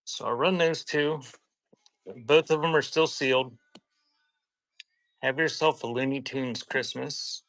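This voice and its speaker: A man with a bright, forward voice at a middling pitch, recorded close and dry. He sells live in an informal, conversational way, drifting between item call-outs and rambling asides. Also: fairly even intonation, slow, long pauses, no room sound, rough recording. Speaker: live auction seller, host. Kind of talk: casual live-selling patter with chatty anecdotes.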